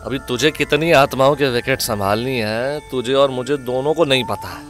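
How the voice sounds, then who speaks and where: A man's voice: medium pitch, normal speed, fairly bright tone, natural voice. A man playing a grumpy, cynical, foul-mouthed sidekick in a horror-comedy audio drama, blunt and slangy. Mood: grumpy, sarcastic. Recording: music under dialogue.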